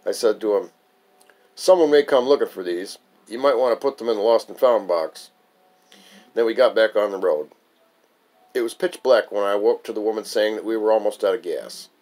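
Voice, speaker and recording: Guy with a kinda low voice reading out his own life story, bit wry. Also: moderate pace, a little mellow. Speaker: author reading own memoir. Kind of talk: memoir recitation, narrated reading.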